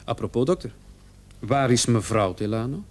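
A man acting a shrewd, persistent police officer in a radio play, questioning a suspect in a measured, probing way. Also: mid-range voice, close to an everyday voice. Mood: probing, pointed.

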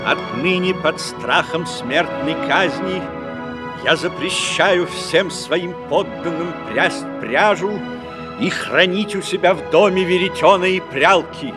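Stately king, fairy-tale audio play. Unhurried, declaiming, slightly high male voice over music. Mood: stern, commanding. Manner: measured, regal, declaiming. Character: stately king, commanding yet gracious host at court.

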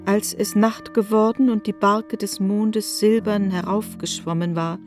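A woman narrating a literary radio drama in a measured, restrained manner. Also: medium pitch, flat delivery, a little mellow. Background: faint instrumental music.

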